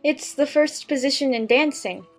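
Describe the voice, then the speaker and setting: Woman doing a polite little girl, eager, high voice. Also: normal speed, faint music behind. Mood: timid recital. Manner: eager and polite, timid when reciting.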